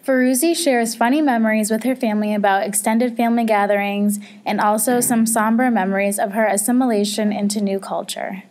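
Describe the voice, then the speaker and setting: A young woman reading a student book report aloud in a measured, even way. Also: fairly high pitch. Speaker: student presenter.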